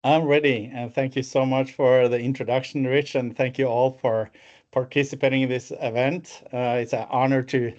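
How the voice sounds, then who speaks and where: A middle-pitched man in his fifties speaks English with a Norwegian accent over a muffled, lo-fi video call. He presents a business webinar from an office, steady but full of "uh" fillers and restarts. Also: normal speed. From Norway. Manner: fluent with frequent fillers and restarts. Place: office, alone.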